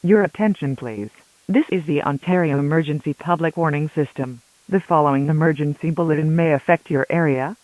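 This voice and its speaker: Male public warning announcer, fairly high voice, formal, narrow tinny radio sound. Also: fairly quick, no echo. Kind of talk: scripted warning system introduction. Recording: tinny phone-like radio alert recording.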